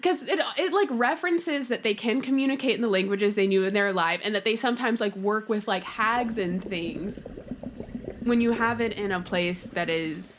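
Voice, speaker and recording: A woman's voice: mid-range voice, moderate pace, a little hiss or hum. A woman speaking as a podcast guest in a casual panel chat, informal and conversational.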